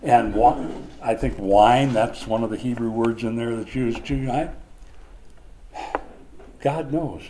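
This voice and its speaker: A man preaching a conversational Bible lesson, animated at times and hushed at others. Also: medium pitch, slow, long pauses, slight background noise. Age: older. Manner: animated, swings from loud to hushed.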